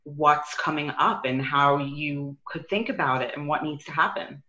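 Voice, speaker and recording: A woman with a New York accent, talking as a coach in an interview. Her voice is bright and forceful, and she tells her stories in an animated, quick way. The sound comes through a roomy space and is a bit dull and lo-fi. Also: deep voice. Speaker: business and life coach, guest. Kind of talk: conversational interview.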